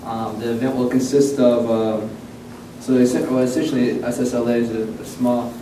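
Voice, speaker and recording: A man's voice: medium pitch, little pitch movement, moderate pace, background noise. A man opening a community event, speaking with many fillers and self-corrections. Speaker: event host. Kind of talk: opening remarks and Quran recitation. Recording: echoey room from far off.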